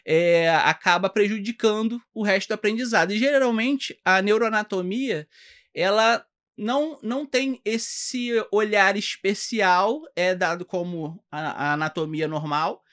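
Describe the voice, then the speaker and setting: A man from Rio de Janeiro with a Carioca accent, a doctor guest on a podcast, explaining his path in a halting way with many fillers and restarts. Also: high-pitched, a bit bright. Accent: Carioca Brazilian Portuguese. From Rio de Janeiro, Brazil. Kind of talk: casual interview chat.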